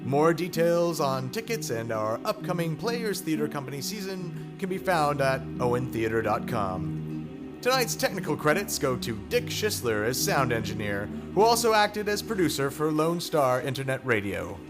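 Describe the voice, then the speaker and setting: A man serves as an old-time radio announcer over prominent music. His fairly high voice swoops expressively as he reads scripted credits in a formal, theatrical way. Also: sing-song, moderate pace. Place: theater stage, live recording. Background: loud live music.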